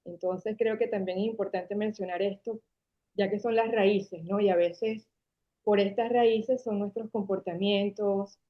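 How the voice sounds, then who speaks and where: Mujer venezolana de Caracas, sonido opaco. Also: female voice, medium pitch, fairly even intonation, a little slow. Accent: Venezuelan Spanish from Caracas. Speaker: guest, marketing agency founder. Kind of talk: interview storytelling. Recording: dull lo-fi sound.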